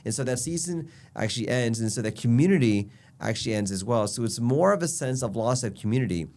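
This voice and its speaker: A man's voice: mid-range voice, lively intonation, fast talker, a little mellow. A man with a stuffy, nasal voice from allergies, a doctor explaining health tips in an upbeat casual chat. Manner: upbeat, explanatory, lists points. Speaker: physician guest.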